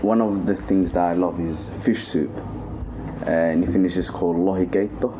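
Deep-voiced young man, noisy field clip. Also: moderate pace. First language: Somali. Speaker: student interviewee. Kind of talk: recorded interview clip. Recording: field clip with background noise.

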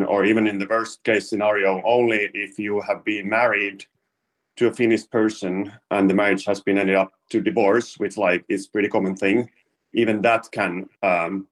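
A man's voice: on the low side, poor audio. A man who is an investigative journalist, explaining his findings with hesitations and word searches. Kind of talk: interview answer.

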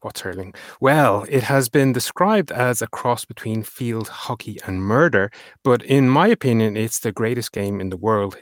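A man's voice: mid-range voice, dry recording, studio quality. A man with an Irish accent co-hosting a weekly news show, reading headlines and chatting with his co-host. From Ireland. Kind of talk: scripted news reading and casual banter.

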